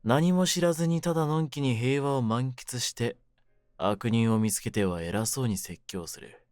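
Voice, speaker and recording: A man playing a cynical, wounded young mafia kidnapper in an audio drama, with a low voice and rough, brooding delivery. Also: moderate pace, darkish tone, no echo, clean studio sound, soft background music, natural voice. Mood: bitter, irritated. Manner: rough masculine slang, taunting, brooding delivery. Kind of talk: Japanese situation-voice audio drama, ASMR.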